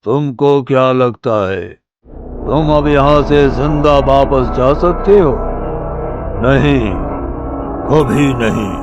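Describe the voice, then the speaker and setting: A man voicing a menacing male jungle jinn in a cartoon, speaking in a slow, gloating, threatening way. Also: mid-range voice, dull audio, over music, close to an everyday voice.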